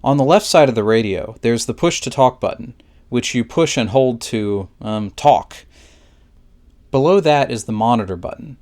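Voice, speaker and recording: A man's voice: medium pitch, animated, normal speed, darkish tone. A man narrating a scripted product review in a plain, methodical, instructional way.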